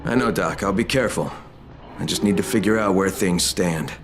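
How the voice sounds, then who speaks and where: An actor playing a tough adult operative who talks in blunt, terse, sometimes profane lines. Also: male voice, low voice, moderate pace, noisy background, close to an everyday voice. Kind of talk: video game cutscene acting. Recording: game score behind.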